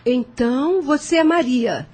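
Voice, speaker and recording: A woman's voice: high-pitched, unhurried, a little mellow. An actress playing an imperious, elegant plantation lady in an audio drama, disdainful and commanding, with a Brazilian accent. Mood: haughty disdain.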